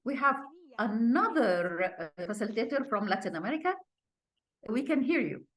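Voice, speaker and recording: A woman hosting an online meeting and introducing speakers, talking haltingly with drawn-out pauses. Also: fairly high pitch, big pitch swings. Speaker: host, moderator. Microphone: video call.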